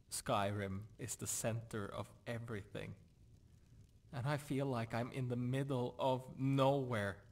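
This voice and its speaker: A disillusioned lord from a fantasy game, acted by a man. His voice sits at a middling pitch, and he speaks in a dour, brooding way, as someone forever questioning his own purpose. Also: close to an everyday voice. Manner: gloomy, brooding, self-questioning. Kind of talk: video game character dialogue.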